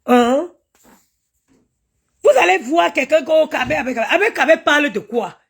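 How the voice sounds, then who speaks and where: A woman's voice: sits high, expressive, unhurried, creaky. A woman from Cameroon, ranting indignantly on a live stream, stammering and chanting invocations. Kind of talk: impassioned live-stream rant.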